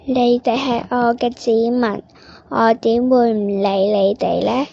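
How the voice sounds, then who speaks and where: A woman playing a benevolent, forgiving queen in a stage play, speaking with measured authority. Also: fairly high pitch, steady tone, vocal fry, dark tone, muffled recording, heavily acted. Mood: gentle reproach.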